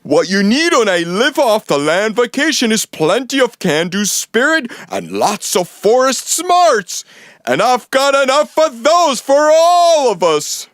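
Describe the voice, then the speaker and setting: A man's voice: very high voice, sing-song, a little slow, fairly bright tone, no room sound, heavily acted. A man playing an exuberant, boastful father bear who declaims grandly and emphatically in a children's audio play. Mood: excited, assured.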